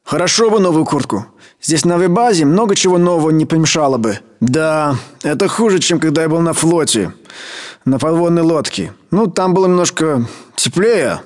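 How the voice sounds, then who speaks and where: High-voiced Russian soldier on duty played by a man. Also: animated, normal speed, close to an everyday voice. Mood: grumbling banter. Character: gruffly bantering Russian soldier on base duty. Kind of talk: video game military voice lines.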